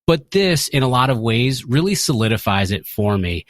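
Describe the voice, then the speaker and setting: A man's voice: mid-range voice, sing-song, normal speed, no room sound, clean studio sound. A man who works as an educator and podcaster, earnestly telling a personal story in long explanatory sentences. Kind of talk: personal story sent as a voice message.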